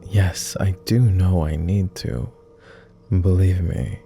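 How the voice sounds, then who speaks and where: A man playing a weary, courteous immortal vampire in intimate ASMR roleplay, speaking softly in formal, hesitant phrases. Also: deep voice, fairly even intonation, creaky, soft background music, heavily acted. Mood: resigned, reserved. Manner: formal, measured, hesitant with pauses. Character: weary, reserved, self-loathing male vampire, immortal, courteous.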